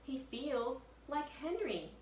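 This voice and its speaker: A woman's voice: high voice, big pitch swings, slow pace, pitched above the performer's own voice, full character voice. A woman voicing a fearless, friendly young girl who loves animals, eager and insistent, in a children's storybook. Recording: echoey room, distant mic, faint noise.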